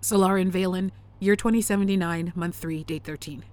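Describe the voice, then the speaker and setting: A woman's voice: fairly low pitch, steady tone, fairly quick, a little mellow, close to an everyday voice. A woman playing a worried, professional lunar engineer in an audio drama, formal but uneasy as she records messages and logs. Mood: shaken, mournful. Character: worried, professional lunar mining lead engineer, long isolated. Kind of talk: audio drama radio calls and log.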